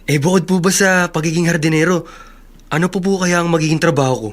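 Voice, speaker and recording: An actor playing a humble, eager young gardener in a Tagalog radio drama, polite and a bit anxious. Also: male voice, fairly high pitch, fairly even intonation, quick pace, natural voice.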